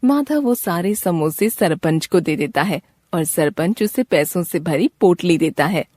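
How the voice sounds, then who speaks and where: A woman's voice: lowish voice, expressive, measured pace, a little mellow, no echo, studio quality. A woman reading scripted storybook narration for a children's cartoon.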